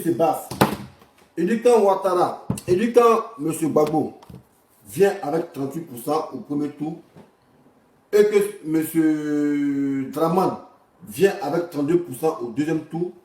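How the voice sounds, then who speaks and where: A man's voice: a little high, mellow. A man giving informal political commentary, speaking straight to viewers in an indignant, repetitive way. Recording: roomy, distant mic.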